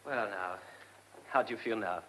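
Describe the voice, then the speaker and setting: An actor playing a polite, restless, hesitant traveller in a radio drama. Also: male voice, on the low side, normal speed, fairly bright tone, a little hiss or hum, close to an everyday voice, low-quality recording. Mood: reassuring. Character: restless, polite male traveller stranded by snow.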